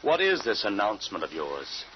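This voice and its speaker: A man's voice: on the low side, wildly swooping pitch, normal speed. A man playing a doubting, bewildered American father in an old radio drama, with a hesitant, incredulous way of speaking. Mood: puzzled, questioning. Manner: hesitant, incredulous, repeats words. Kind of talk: 1940s-50s radio drama acting. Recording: muffled, noisy old radio recording.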